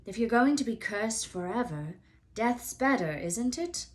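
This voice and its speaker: A woman voicing an anxious, excitable young schoolboy wizard in a storybook, urgent and questioning. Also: on the high side, measured pace, a little hiss or hum. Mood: anxious, puzzled.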